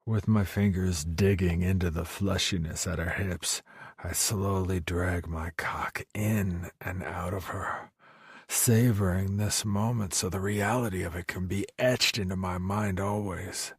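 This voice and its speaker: A man's voice: low-pitched, fairly even intonation, measured pace, husky, no room sound, clean studio sound. A man narrating a romance audiobook in the first person as the male lead.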